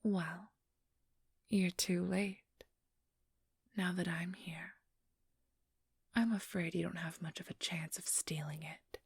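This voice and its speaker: Slow, whispering, smug vampire thief played by a woman. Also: fairly low pitch, steady tone, dry recording, studio quality, exaggerated voice. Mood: haughty, teasing. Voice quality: breathy, husky whisper. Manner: condescending, teasing, drawn-out delivery. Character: ageless female vampire thief, smug, teasing, haughty. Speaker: ASMR role-play performer. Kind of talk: ASMR role-play, whispered. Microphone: close, dry microphone.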